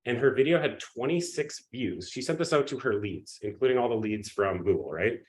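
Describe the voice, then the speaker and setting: A man with a cold, sniffling and coughing, giving an energetic live webinar pitch and often checking in with his audience. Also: a little fast, slight background noise, low-quality recording. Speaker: webinar presenter.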